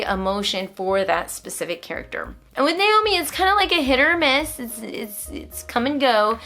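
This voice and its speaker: Mom doing a homeschool vlog, bright clear-cut voice, explains stuff and encourages. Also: female voice, mid-range voice. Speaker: homeschooling mother and host. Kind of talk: instructional vlog talk with read-aloud asides to a child.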